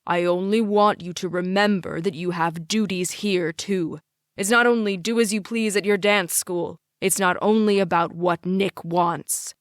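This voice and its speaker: A woman's voice: lowish voice, fairly even intonation, a little slow, dry recording, clean studio sound, lightly acted. A woman voicing a stern, controlling father in an audiobook, speaking in a commanding, clipped way. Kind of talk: audiobook character dialogue.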